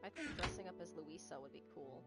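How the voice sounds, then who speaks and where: A young woman streaming a game, her voice sitting low and a little heavy. Spanish was her first language, and she talks with a slight stutter. She rambles loosely between viewers and gameplay, with clear game music underneath. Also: fast. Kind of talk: casual chat while playing a game. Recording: game music playing behind.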